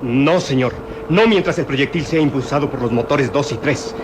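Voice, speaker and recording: An actor playing a grave, deferential professor at mission control in a radio drama, formal and precise. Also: male voice, mid-range voice, normal speed, noisy background, close to an everyday voice. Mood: formal, concerned.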